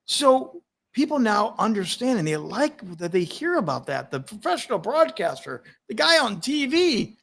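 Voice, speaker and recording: A man hosting a live stream, his voice sitting a little high for a man. He speaks with energy and emphasis, laughs easily, and talks openly about his own stutter. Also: big pitch swings, normal speed, creaky, a bit bright. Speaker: host.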